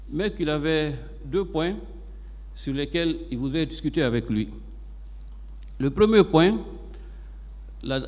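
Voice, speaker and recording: A man gives formal court testimony in a measured, deliberate way, pausing between short phrases. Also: medium pitch, darkish tone. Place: large courtroom hall. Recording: reverberant hall, long echo.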